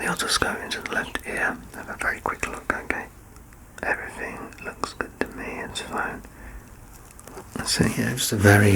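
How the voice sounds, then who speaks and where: A man playing a doctor in an ASMR medical exam roleplay, soft-spoken and reassuring, with gentle teasing and close whispered passages. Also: fairly low pitch, rough recording. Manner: slips into unvoiced whispering up close.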